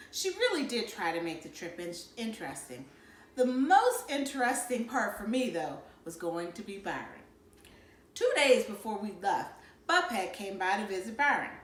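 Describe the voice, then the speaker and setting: Animated woman reading in an echoey room. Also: on the high side. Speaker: read-aloud narrator. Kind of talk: expressive storybook narration.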